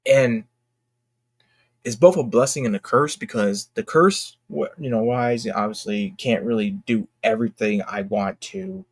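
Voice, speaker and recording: A young man talking casually and candidly to his fans in a halting, reflective, earnest way, as an independent creator. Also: medium pitch, slightly rough, dry recording. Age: young adult. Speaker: indie comic creator and vlogger.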